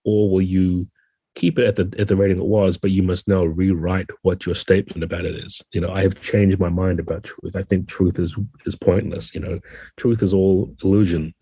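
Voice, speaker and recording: A man's voice: low-pitched, level tone, moderate pace, warm tone, breathy edge, dry recording. A man speaking as an interview guest, explaining things in a thoughtful, methodical, conversational way. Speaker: podcast guest, game designer.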